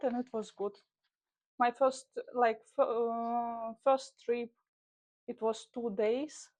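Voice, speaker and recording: A woman's voice: on the high side, a little mellow. A young woman from Ukraine with a Ukrainian accent, talking casually in a vlog in loose, filler-filled English. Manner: chatty, loose non-native English with frequent fillers. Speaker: traveling model.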